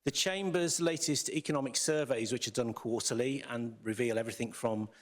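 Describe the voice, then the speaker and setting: A man hosting a business hustings debate in a theatre, brisk and organised as he introduces the panel and explains the format. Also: medium pitch. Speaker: debate host. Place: theatre with audience.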